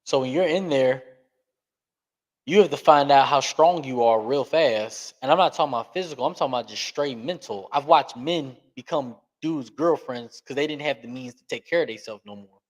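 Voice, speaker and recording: Earnest man speaking emphatically. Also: medium pitch. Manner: earnest, emphatic, speeds up and slows down for stress. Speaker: podcast guest. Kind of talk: candid interview talk.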